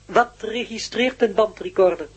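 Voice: medium pitch, normal speed, slightly rough, lightly acted. An actor in a Dutch radio play voicing a blunt, impatient, superintelligent ancient being who speaks tersely and demandingly. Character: blunt, impatient superintelligent prehistoric being named Jack, newly taught language. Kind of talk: radio drama acting.

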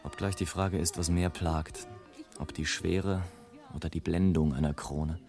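An actor playing a scornful airship traveller in a radio play, delivering a sardonic, declamatory monologue as a bitter satirist of mankind. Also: male voice, low-pitched, fairly even intonation, measured pace, a little mellow. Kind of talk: literary radio play monologue, late 1980s. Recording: music behind the voice. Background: music.

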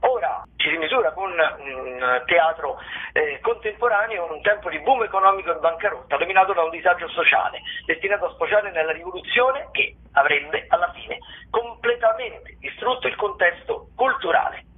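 A man speaks through a narrow, tinny telephone connection. His voice is fairly high and a little husky. He presents theatre listings for radio in a semi-improvised way, like a correspondent calling in. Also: moderate pace. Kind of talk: semi-improvised spoken review of upcoming shows. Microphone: telephone call into a radio programme. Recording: narrow tinny phone line.

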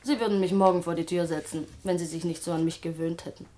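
An actress playing a young housemaid and companion in a 1960 German radio play, earnest, romantic and quick to answer back defensively. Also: female voice, lowish voice, steady tone, warm tone, close to an everyday voice. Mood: stung, pert.

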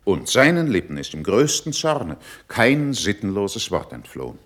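Proud old-school older courtier, deep, really animated, rhetorical, classical radio play. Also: male voice, exaggerated voice. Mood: defensive pride. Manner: haughty, measured, rhetorical. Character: stern, proud older courtier, shrewd and haughty. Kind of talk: classical verse stage acting for radio.

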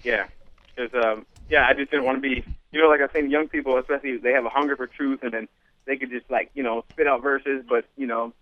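A man's voice: mid-range voice, steady tone, quick pace, no echo. A man calling in to a radio show by phone, chatting earnestly and deferentially in loose, colloquial phrasing. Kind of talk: casual earnest chat. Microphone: phone call. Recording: tinny narrowband phone line.

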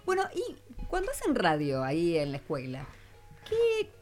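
A woman's voice: on the low side, wildly swooping pitch, moderate pace, darkish tone, soft background music. A woman with a Rioplatense Argentine accent, interviewing as a radio host in an encouraging, conversational manner. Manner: encouraging, responsive.

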